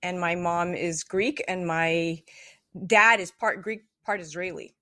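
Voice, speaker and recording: A woman's voice: on the low side, a little slow, pushed and bright. A woman from Canada, a radio host appearing as a podcast guest, upbeat and chatty about her show. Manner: upbeat, self-promoting. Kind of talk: casual interview.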